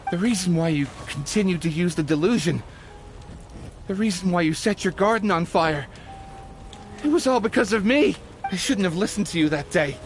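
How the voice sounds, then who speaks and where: An actor playing a grief-stricken man haunted by guilt, halting and pleading in a dramatic video game scene. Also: high-pitched, close to an everyday voice. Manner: halting, pleading, repeats himself.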